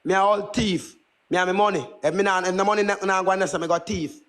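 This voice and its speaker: A man's voice: sits high, fairly even intonation, fast, a bit bright. A Jamaican man in his twenties who speaks Patois in a brash, boastful, repetitive way, ranting casually on a livestream. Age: 20s. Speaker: livestream host.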